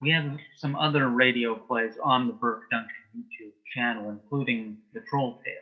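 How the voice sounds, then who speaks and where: A man speaking as the guest in a casual interview, giving measured, concise answers. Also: mid-range voice, fairly even intonation, narrow, tinny audio. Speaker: interview guest, author and playwright.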